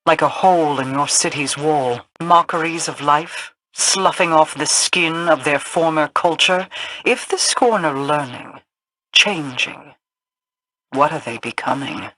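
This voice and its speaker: An actress playing a regal, imperious, cold queen in a video game, speaking formally and with measured haughtiness. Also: sits low, a bit bright, no room sound, poor audio.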